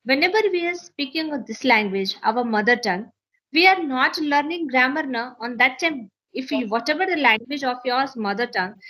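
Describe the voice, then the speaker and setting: Indian lady english teacher, maithili native, kinda high bright voice, earnest and explainy. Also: poor audio. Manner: earnest, explanatory, repeats herself to stress points. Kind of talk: interview, sharing learning advice.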